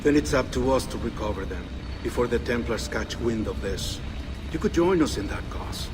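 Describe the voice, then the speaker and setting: An actor playing a solemn, wise leader of a secret order in a video game, formal, calm and deliberate. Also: male voice, medium pitch, noisy background, natural voice. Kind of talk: video game cutscene acting. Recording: long cavernous reverb, music behind.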